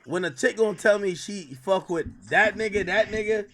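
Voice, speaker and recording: Thirties male streamer host, high-pitched, animated casual talker over light background noise. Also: normal speed. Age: 30s. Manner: animated, repeats himself, talks over guest. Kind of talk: casual livestream chat.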